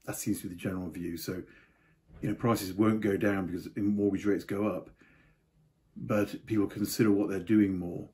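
A man's voice: deep voice, fairly even intonation, moderate pace, dark tone. An older man giving a casual market update to camera from a home study, with offhand asides. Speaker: property market commentator.

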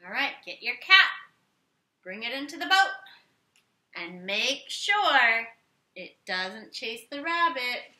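A woman's voice: on the high side, sing-song, takes it slowly, long pauses, bright, forceful tone. A woman in her thirties reading a children's story aloud expressively, giving play-along instructions to young listeners. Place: living room, indoors. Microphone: camera a few feet away. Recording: roomy living room echo.

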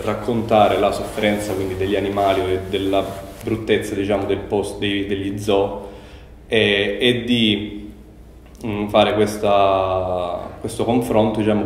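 A young man in his twenties speaks low and level, with little rise or fall in his voice. He answers casually but hesitantly, pausing on fillers and restarting phrases, and the bare room around him rings with heavy echo. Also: darkish tone. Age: 20s. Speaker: young filmmaker interviewee.

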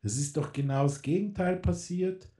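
A man's voice: high voice, moderate pace, mellow. A man in casual political radio talk, rambling with many fillers and restarts. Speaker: guest.

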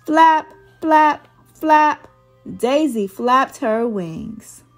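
Give it a woman storyteller with a high, very animated voice, reading for small children. She takes her time, very slowly and expressively, in a singsong way. Soft music plays behind her. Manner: slow, expressive, singsong delivery. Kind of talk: read-aloud storytelling for young children. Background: background music.

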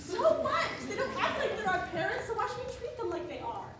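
A woman's voice: extremely high pitch, sing-song, fairly quick, a crowd in the background. A woman on an amateur stage playing a frightened but determined little sister in a fairy tale, with emphatic, emotional delivery. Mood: urgent, insistent. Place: theatre stage, indoors. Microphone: far camera in the audience. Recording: very echoey theatre stage from far off, faint noise.

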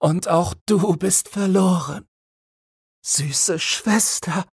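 A humble, wretched prisoner from a storybook, played by a male reader. He pleads plaintively and in despair, his husky voice pitched far above the narration. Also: extremely slow, no echo, studio quality, full character voice. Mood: weeping despair.

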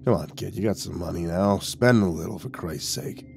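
A man voicing a gruff, slangy hitman in an audiobook, growling and muttering his lines like a lazy sports junkie. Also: low-pitched, moderate pace, darkish tone, voice pushed down. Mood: nonchalant, needling. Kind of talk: audiobook character voice.

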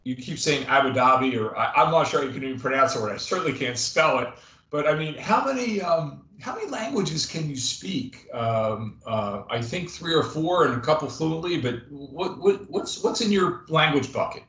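Chatty podcast host in a roomy office. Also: male voice, fairly high pitch, expressive, moderate pace, fairly bright tone. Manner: friendly, rambling, lots of fillers and restarts. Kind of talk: casual interview chat. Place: home office, indoors. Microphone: webcam on video call. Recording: roomy video call audio.

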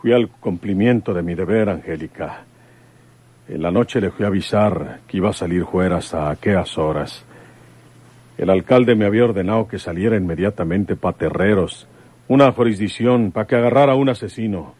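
A man with a Mexican accent playing a gruff older rural police commissioner, plain-spoken and grieving, in an old radionovela. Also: sits low, normal speed, a little mellow, no room sound. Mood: wounded, earnest. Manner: rustic countryside speech.

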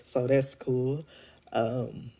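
A middle-aged woman with a deep, congested voice, chatting casually through a show review with lots of ums and laughs. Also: flat delivery, drawn-out words, dark tone. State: congested from a cold. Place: indoors at home. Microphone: phone held at arm's length.

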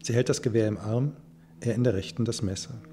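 A man's voice: lowish voice, steady tone, brisk, warm tone. A man reading scripted radio narration as a narrator. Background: music.

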